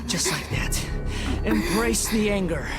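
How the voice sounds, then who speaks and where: A sinister fantasy game villain goads in a slow, deliberate voice of middle pitch. Loud music runs behind the taunting delivery. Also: female voice, animated. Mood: gloating, goading. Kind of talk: dramatic game voice acting.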